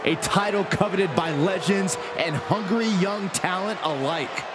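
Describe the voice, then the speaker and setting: A man playing an earnest, energetic wrestling commentator in a video game, speaking emphatically. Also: high-pitched, a little slow, over a noisy crowd, heavy background noise. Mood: earnest, excited. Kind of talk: sports commentary. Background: loud music.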